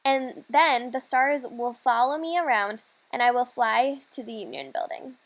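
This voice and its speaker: A woman reading aloud a children's storybook as a hopeful, dreamy young girl, earnest and measured. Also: on the high side.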